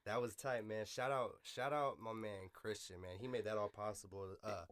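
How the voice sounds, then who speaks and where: A man's voice: on the low side, fairly even intonation, normal speed. A man hosting a podcast in casual, slangy urban speech, enthusiastic and affirming, repeating phrases for emphasis. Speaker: podcast host. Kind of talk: casual interview chat.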